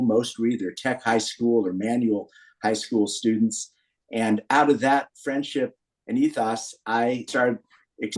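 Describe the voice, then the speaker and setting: A man's voice: medium pitch, darkish tone, poor audio. An American man in his late sixties telling personal stories as a podcast guest in an earnest, anecdotal way. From Berkeley, California, USA. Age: 60s. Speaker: podcast guest, founder of a men's community group. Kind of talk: personal storytelling in a podcast interview.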